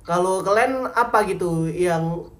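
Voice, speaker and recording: A man's voice: sits high, a little slow, crowd noise. A man hosting a casual podcast chat, steering the topic with slangy banter. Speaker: podcast host.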